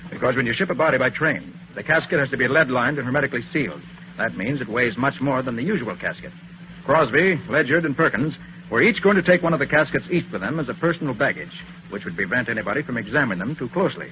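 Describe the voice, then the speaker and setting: An actor playing a shrewd, commanding master detective in an assured 1940s radio drama style. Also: male voice, mid-range voice, expressive, fast, natural voice. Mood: assured, explanatory. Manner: assured, explanatory, authoritative. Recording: muffled, noisy 1940s radio recording.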